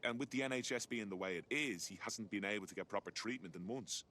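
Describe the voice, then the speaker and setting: Blunt british working class bloke in a game, lowish voice, slight background noise. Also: male voice, fast, pushed and bright. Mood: aggrieved. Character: aggrieved working-class British man seeking help. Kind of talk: video game acting.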